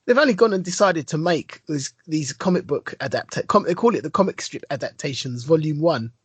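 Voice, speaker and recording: Excitable podcast guy, kinda high and husky, rambles on, super dry close mic. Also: fairly quick, no room sound. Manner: enthusiastic, rambling storyteller. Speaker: podcast co-host. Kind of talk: casual chat.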